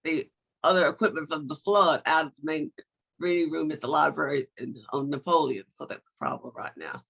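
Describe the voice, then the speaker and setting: Man, casual chat, gravelly, sharp bright tone, slightly high pitch, video call. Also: animated, fairly quick, poor audio. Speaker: participant.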